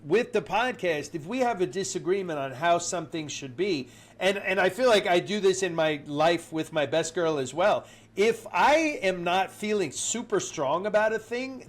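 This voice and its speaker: A man co-hosting a podcast in casual banter, with a nasal, stuffed-up voice, chatty and quick to laugh. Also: fairly high pitch. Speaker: podcast co-host.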